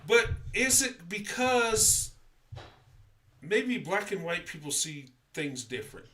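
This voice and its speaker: A man's voice: sits high, big pitch swings, takes it slowly, sharp tone. A congested man hosting a casual livestream, talking in a rambling, hesitant way with many fillers and restarts. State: congested, stuffy nose. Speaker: livestream host.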